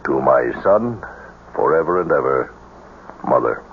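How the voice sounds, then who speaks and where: An actor playing a gruff, brisk army colonel with formal speech in a radio drama. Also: male voice, extremely low pitch, animated, heavily acted. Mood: grave, explaining. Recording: tinny, hissy old radio broadcast.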